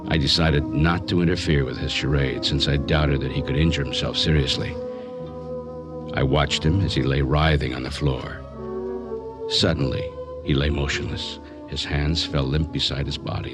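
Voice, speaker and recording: An actor playing a composed male psychiatrist who narrates in a measured, clinical manner for a radio drama. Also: a bass voice. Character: composed male psychiatrist recounting a strange case.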